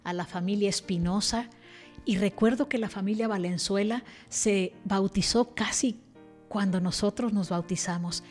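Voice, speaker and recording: A woman's voice: medium pitch, normal speed, darkish tone. An older woman you would hear in an online scripture study video, earnestly reflecting from her desk with music playing underneath. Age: older, about 60. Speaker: religious teacher presenting a scripture lesson. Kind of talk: devotional scripture lesson to camera. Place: indoor room, seated at a desk. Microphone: desk microphone. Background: background music.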